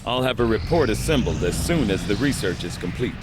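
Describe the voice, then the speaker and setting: An actor playing a measured, intellectually curious chief scientist in a video game, formal and erudite. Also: male voice, lowish voice, animated, natural voice. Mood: intrigued, composed. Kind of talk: video game research briefing. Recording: loud machinery noise behind. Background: mechanical ambience.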